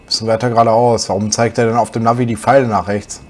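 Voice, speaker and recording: Man with a slight cold, relaxed rambling gameplay talk, young adult, middle pitch. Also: fast talker, a little hiss or hum. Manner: relaxed, chatty, musing aloud while driving. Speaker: gaming channel host. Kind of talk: casual game commentary.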